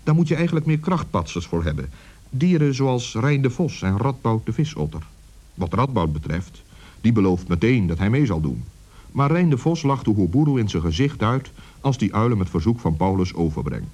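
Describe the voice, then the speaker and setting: Guy telling a kids story, husky mellow voice, really lively intonation. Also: on the low side, normal speed. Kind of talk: scripted children's story narration.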